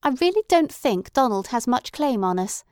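An impatient middle-aged mother talks in a brisk, fussy, faintly scornful way, played by a woman reading aloud. Her voice sits somewhat raised and firm. The sound is dry and close. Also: on the high side, very animated, darkish tone, dry recording, voice pushed up.

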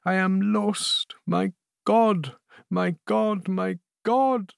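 Man voicing an anguished, high-pitched queen. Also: lively intonation, normal speed, no echo, clean studio sound. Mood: despairing. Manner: formal, courtly, faltering. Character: frightened proud queen, anguished and pleading.

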